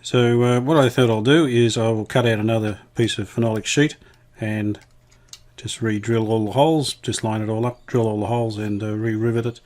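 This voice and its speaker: A man with a fairly low voice presents a hands-on workshop demonstration. He talks casually and without hurry, in a matter-of-fact way, as if thinking aloud while he works. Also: steady tone, normal speed, darkish tone. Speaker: hobbyist radio restorer presenting his video.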